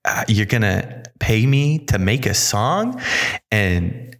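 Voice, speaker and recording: A man's voice: fairly low pitch, very animated. Man rambling in an affected accent. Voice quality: affected accent put on over his normal voice. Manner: halting, self-interrupting, casually musing. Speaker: podcast host. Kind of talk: rambling solo podcast monologue, thinking aloud.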